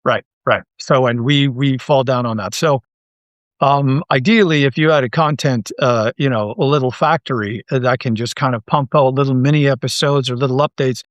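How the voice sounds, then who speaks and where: A man's voice: mid-range voice, normal speed, no room sound, lo-fi sound. A man speaking casually as a podcast guest and creator, self-deprecating and often repeating his words. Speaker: interview guest, audio drama creator.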